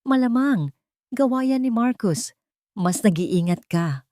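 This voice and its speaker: A woman's voice: fairly high pitch, expressive, a little slow, warm tone, no room sound, clean studio sound, pitched above the performer's own voice. A woman voicing a protective male ally in an audiobook, urgent and warning.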